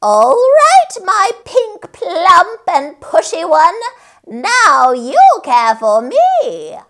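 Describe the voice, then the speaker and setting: Shrill, cackling little creature. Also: female voice, huge pitch swings, fairly bright tone, exaggerated voice. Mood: bossy, triumphant. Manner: cackling, drawn-out wheedling delivery. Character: small cackling bossy sandwich creature, female, sly and demanding.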